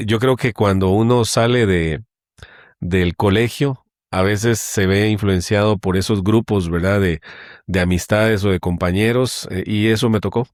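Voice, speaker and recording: A middle-aged man from Guatemala, a guest telling his story in a relaxed interview, pausing often with hesitations. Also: fairly low pitch, no echo, studio quality. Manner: measured, with many hesitations. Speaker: guest, company general manager. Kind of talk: conversational interview.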